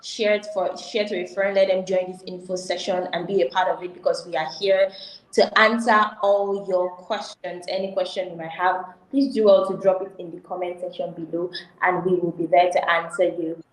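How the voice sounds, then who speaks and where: A woman's voice: on the low side, flat delivery, moderate pace. A Nigerian woman hosting a live online info session, welcoming viewers and reading out their comments. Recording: roomy echo.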